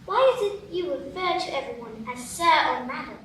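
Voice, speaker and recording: A high, bright and curious young girl played by a woman, polite and full of questions, her pitch moving a lot. She is heard from far off on an echoey stage with faint hiss. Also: lively intonation, moderate pace. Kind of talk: live radio play acting.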